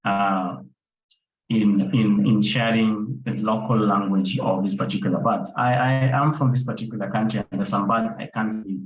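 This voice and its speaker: Man, low voice, online panel talk, muffled roomy sound, faint noise. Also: fairly even intonation, normal speed. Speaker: conservation photographer speaking on a panel.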